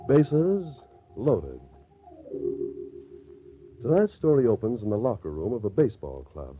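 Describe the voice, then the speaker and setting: A solemn radio mystery announcer, low but sweeping in pitch. The recording is thin and tinny, with music behind the scripted narration. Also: male voice, very animated, measured pace, slightly rough, full character voice. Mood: dramatic. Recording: thin, narrow-band old radio recording.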